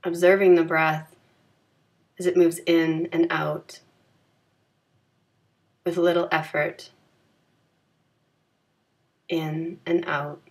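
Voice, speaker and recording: A woman leading a guided yoga nidra meditation in a calm, gentle, soothing manner with unhurried phrasing. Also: on the low side.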